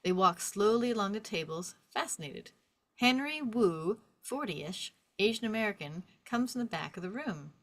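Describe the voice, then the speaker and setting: A woman's voice: mid-range voice, expressive, moderate pace. A woman reading stage directions as a scripted narrator, in a vivid descriptive storytelling manner.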